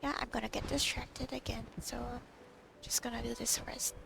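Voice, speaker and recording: A woman's voice: a little high, flat delivery, normal speed, vocal fry. A woman giving casual, offhand commentary in a murmur while she plays a video game. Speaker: gamer recording own playthrough. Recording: game music behind the voice. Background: video game music.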